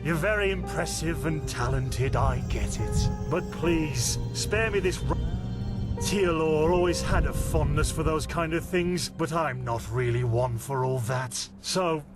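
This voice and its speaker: An actor playing a blunt, weary master of a holy order in a fantasy game, dry and authoritative. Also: male voice, on the high side, unhurried, over loud music, lightly acted. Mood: dry, sarcastic. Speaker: mentor leading novices to a trial.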